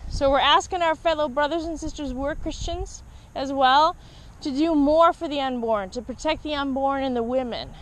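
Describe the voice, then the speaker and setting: High-voiced woman activist, noisy outdoors. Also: moderate pace. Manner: polite, repetitive, persuasive appeals. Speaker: activist street evangelist. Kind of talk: earnest outreach pitch to passersby. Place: outdoors beside a church parking lot roadway. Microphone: body-worn action camera on the speaker. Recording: noisy outdoor body-camera audio. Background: passing vehicles, outdoor noise.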